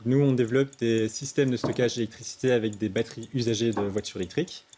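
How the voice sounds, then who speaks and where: A man's voice: mid-range voice, fairly even intonation, fast, dark tone, slight background noise. A man, a start-up founder giving structured technical explanations.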